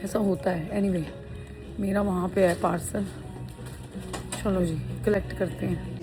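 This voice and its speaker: A woman's voice: on the low side, steady tone, measured pace, music in the background. A woman lifestyle vlogger talking casually to her viewers, often slipping English phrases into her Hindi. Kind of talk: casual chat to camera. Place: home kitchen while cooking.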